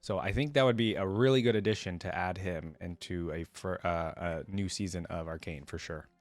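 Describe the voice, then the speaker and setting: Male reaction host, lowish voice, dry close-mic sound, relaxed mix of lore reading and casual commentary. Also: normal speed, no room sound.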